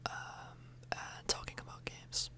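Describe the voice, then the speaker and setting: A woman whispering softly and breathily in a casual, rambling ASMR video, often hesitating and correcting herself. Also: takes it slowly. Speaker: ASMR YouTuber, host. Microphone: microphone a little further away than usual.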